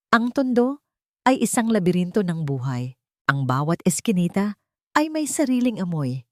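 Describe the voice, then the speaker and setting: A woman narrating a scripted dramatic story in a suspenseful way, pausing between short phrases. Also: fairly low pitch, dry recording, studio quality.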